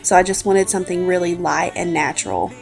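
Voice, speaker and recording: A woman's voice: on the low side, brisk, creaky. A woman in her twenties with a congested, slightly scratchy voice, chatting informally as a beauty vlogger narrating a makeup routine. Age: 20s. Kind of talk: casual makeup tutorial narration. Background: background music.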